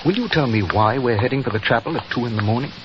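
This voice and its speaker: An actor playing an impatient, bewildered English lord in a 1940s radio drama, speaking formally with a British accent. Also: male voice, mid-range voice, expressive, fast, close to an everyday voice. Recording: very noisy, crackling muffled 1940s radio recording.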